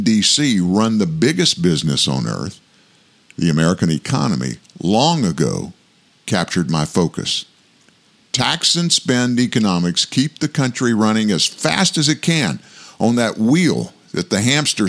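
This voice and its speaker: A man in his sixties from South Louisiana with a big voice, hosting a scripted commentary show in a measured, reflective way. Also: on the low side. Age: 60s. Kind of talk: scripted political commentary monologue.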